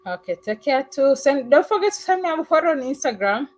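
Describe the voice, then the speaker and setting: A woman's voice: sits high, sing-song, fairly quick. A woman hosting a live stream, chatting casually with callers and thanking them effusively. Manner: warm, effusive thanks, mimics other accents playfully. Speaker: livestream host.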